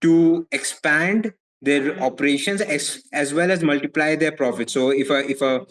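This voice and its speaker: A young man from India with an Indian accent, answering interview questions as a legal advisor in a measured way, often repeating words as he thinks. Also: a little high, fairly bright tone. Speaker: foreign legal advisor, guest.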